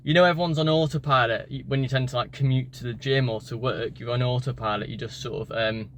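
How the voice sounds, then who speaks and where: A man's voice: medium pitch, fairly even intonation, fast, fairly bright tone. Tired man rambling over traffic noise. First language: English. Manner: rambling, many fillers and restarts. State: tired after the gym. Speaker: language learning vlogger. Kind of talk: casual vlog talk. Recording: street and car noise behind.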